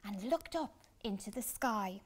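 Female storyteller for young children, animated intonation, slow delivery, dramatic pauses. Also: medium pitch. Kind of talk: expressive picture-book reading. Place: small furnished room indoors.

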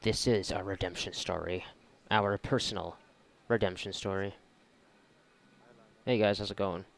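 Low-voiced sarcastic man doing game commentary. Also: fairly even intonation, measured pace, gravelly. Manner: sarcastic, joking, profane. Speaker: gamer, video creator. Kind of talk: casual let's play commentary. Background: game music.

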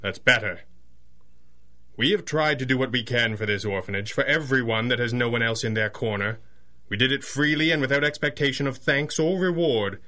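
A reserved gentleman hero of a radio adventure, acted by a man. His pitch is middling and his phrasing formal and measured, as befits a proper crime-fighting boss. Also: lightly acted. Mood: firm. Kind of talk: 1930s-set radio superhero drama acting.

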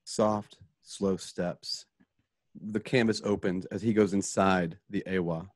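Low-voiced man narrating radio drama cues. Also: fairly even intonation, takes it slowly, no room sound, clean studio sound. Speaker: narrator and director.

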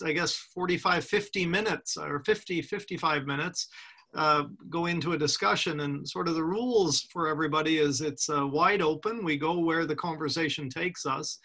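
A man in his sixties hosts an interview over a webcam video call from a room at home. His voice is fairly high, gravelly and bright. He talks in a chatty, friendly way, with plenty of fillers. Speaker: host.

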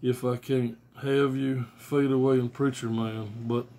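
A man's voice: medium pitch, flat delivery, a little slow, dark tone. An American man in his forties chatting casually and unscripted to camera as a music fan, blunt and slangy with swearing, recorded at home on a handheld-distance device. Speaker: music fan reviewing albums on video. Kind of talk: casual unscripted vlog chat. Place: small room at home. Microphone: phone or tablet at arm's length. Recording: noisy phone recording with clicks.

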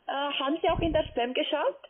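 A woman call centre agent, not a native speaker, giving polite, halting instructions over the phone. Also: high voice, slight background noise. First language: not German. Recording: rough narrowband phone line.

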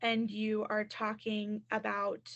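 A woman's voice: medium pitch, completely flat delivery, slow, fairly bright tone, lo-fi sound. A woman college admissions counselor answering questions on a webinar through a computer mic, chatty and full of fillers.

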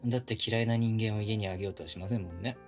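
A man's voice: lowish voice, very fast, faint music behind, lightly acted. A man playing a pushy, flirtatious younger coworker with puppyish eagerness, speaking casually and intimately to the listener in a romantic audio drama. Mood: earnest, coaxing. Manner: casual junior-to-senior speech, teasing and coaxing. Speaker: voice actor in a romantic situation voice drama. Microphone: close binaural studio microphone.

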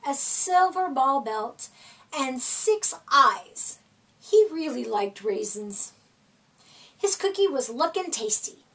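A woman reads a children's story a little away from the microphone. Her voice is high and swings widely in pitch, with theatrical, deliberate phrasing. She sounds middle-aged. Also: a bit bright, distant mic, low-quality recording. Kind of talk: expressive read-aloud of a children's picture book. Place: small indoor room with decorated wall.

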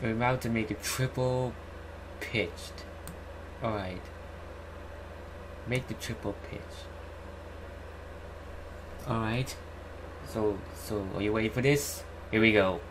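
A young man giving a casual screen-recorded tutorial in a halting, repetitive way. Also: lowish voice. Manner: halting, repetitive, says "alright" often. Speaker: YouTuber host. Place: indoor room at home. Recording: clearly noisy home recording, keyboard typing.

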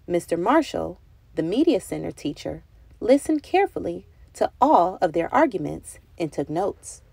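A woman reading a children's picture book aloud in an expressive storytelling style. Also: on the low side, moderate pace, creaky, breathy edge, dry recording.

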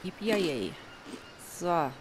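A woman's voice: lowish voice, very animated, drawn-out words, heavy background noise. A woman chatting casually to herself while she plays and crafts in a survival game, often poking fun at herself. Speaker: gaming video host. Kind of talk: casual let's play commentary.

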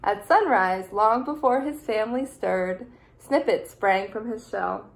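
A young adult woman, a teacher, reading a picture book aloud in a deliberate, expressive way for small children. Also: medium pitch, gravelly, poor audio. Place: small room indoors.

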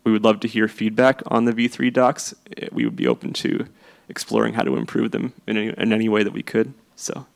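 A man's voice: on the low side, fairly even intonation, a little fast, vocal fry. A man speaking on a conference panel with a handheld mic, hesitant, with many fillers. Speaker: panelist, software engineer. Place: large conference hall with audience.

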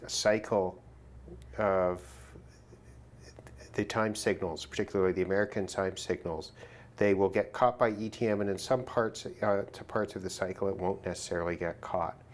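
A man giving an informal hands-on gear demonstration, thinking aloud in a measured way as he goes. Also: deep voice, fairly even intonation, slight background noise. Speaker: hobbyist demonstrating a shortwave radio test. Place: indoors at a work desk.

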